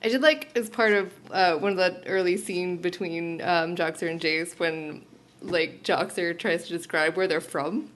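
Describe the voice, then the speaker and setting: Low-ish woman in loose, rambling chat. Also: steady tone, normal speed, a bit bright. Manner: loose and rambling, full of "like" and "you know". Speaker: podcast co-host. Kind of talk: casual chat.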